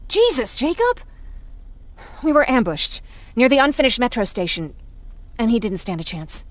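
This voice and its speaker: A woman's voice: on the high side, wildly swooping pitch, moderate pace, no room sound. An actress playing a compassionate, outspoken woman of the resistance in a video game. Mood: urgent, compassionate.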